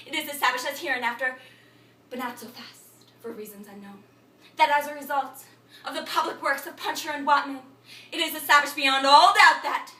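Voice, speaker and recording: A woman playing a frantic, babbling thinker in an absurdist stage monologue, incantatory and repetitive, swinging between muttering and shouting. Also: high-pitched. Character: frantic, babbling thinker reciting a broken learned tirade. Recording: echoey room, distant microphone.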